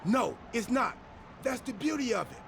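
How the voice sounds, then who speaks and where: An actor playing a goofy, over-excited wrestler in a video game cutscene, boastful and rambling about his faction. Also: very high voice, normal speed, full character voice. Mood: manic, excited. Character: hyped, goofy male wrestler, fanatically loyal to his faction. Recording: clearly noisy background.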